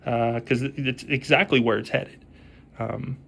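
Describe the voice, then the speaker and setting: Insistent man with a bright voice. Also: mid-range voice, fairly even intonation, measured pace, creaky, slight background noise. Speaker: roofing contractor. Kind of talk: phone negotiation. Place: phone call.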